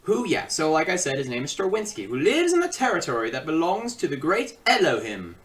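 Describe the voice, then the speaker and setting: Animated, bright-voiced man reviewing films. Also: a little high, a little fast, recorded from a distance. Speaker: online film reviewer and host. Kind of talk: comedic video review.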